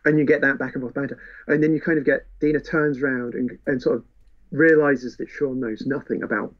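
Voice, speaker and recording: A slightly muffled, lo-fi recording of a man with a medium-pitched voice. He talks informally as a co-host, explaining stories in a casual discussion. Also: fairly even intonation, fairly quick. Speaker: podcast co-host. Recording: dull, lo-fi sound.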